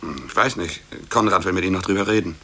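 Low, creaky, needling office colleague. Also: male voice, quick pace, sharp tone, exaggerated voice. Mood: matter-of-fact. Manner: colloquial, insinuating, hedging with question tags. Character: needling, slippery male office colleague, egging on. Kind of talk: 1950s German radio drama acting. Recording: noisy old radio play cassette transfer.

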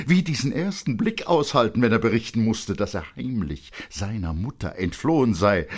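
Male narrator for radio literature: low, husky voice, dramatic and expressive scripted reading with hushed shading. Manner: expressive and dramatic, swelling in urgency and dropping to hushed tones. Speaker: narrator, audiobook reader.

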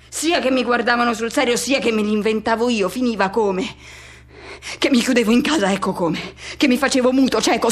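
A woman playing a lonely, self-effacing middle-aged man in an introspective, colloquial radio drama monologue with halting repetitions. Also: fairly high pitch.

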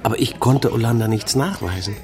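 An actor playing a sober police investigator in a radio crime drama, reporting in a matter-of-fact, official manner. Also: male voice, medium pitch, a little fast, lightly acted. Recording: music under the voice.

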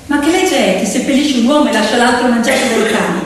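A woman's voice: sits high, quick pace, background noise. A woman telling a story theatrically, emphatic, repeating words for effect. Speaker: stage actress and storyteller. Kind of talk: theatrical storytelling to piano accompaniment. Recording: echoey hall, distant mic, loud music. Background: piano music.

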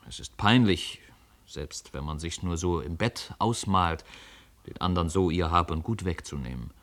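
Male narrator, literary radio play: deep register, scripted storytelling. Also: fairly bright tone.

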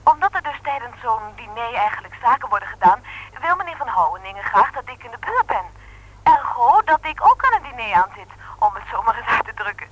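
Young secretary, radio play, persuasive, roundabout, highish voice, lively intonation. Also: female voice, brisk, a little hiss or hum, rough recording. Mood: coaxing. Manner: roundabout, coaxing, chatty. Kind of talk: Dutch radio play acting.

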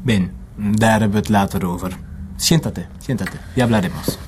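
An actor playing a menacing militant in an audio drama, taunting and deliberate. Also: male voice, lowish voice, background noise, lightly acted. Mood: quietly menacing. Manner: taunting, deliberate, switching between Dutch and Spanish. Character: menacing Basque militant, interrogating man.

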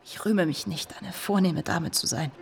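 An actress in a German radio drama playing a virtuous married bourgeois lady, measured, reserved and coolly moralising. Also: on the low side, gravelly, over music. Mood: restrained, firm.

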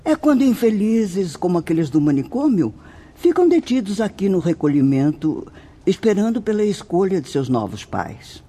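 A man's voice: high-pitched, sing-song, normal speed, warm tone, breathy edge, full character voice. An actor with a Brazilian accent playing a solemn, fatherly spirit mentor who lectures formally and emphatically in a radio drama. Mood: grave, emphatic. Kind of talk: radio drama acting, didactic.

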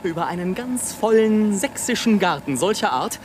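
A man's voice: high-pitched, expressive, normal speed, noisy background. An actor playing a bitter, world-weary male balloonist in a German radio play, declaiming in an eloquent, theatrical and ironic way. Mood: sardonic.

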